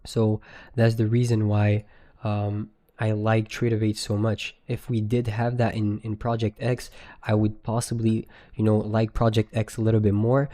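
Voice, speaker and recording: Young man, Quebec French accent, native French speaker, slangy live stream chat, lowish pitch, mellow tone. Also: monotone, moderate pace. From Quebec, Canada. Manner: loose, slangy, laughs easily. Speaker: day trader live streamer. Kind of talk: casual live stream chat, answering viewers.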